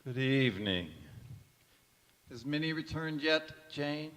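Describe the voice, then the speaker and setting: A man's voice: fairly high pitch, expressive, takes it slowly, a bit bright. A man playing a polite, stern middle-aged father in an old-time radio drama, recorded on stage in an echoey church hall. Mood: courteous, wary.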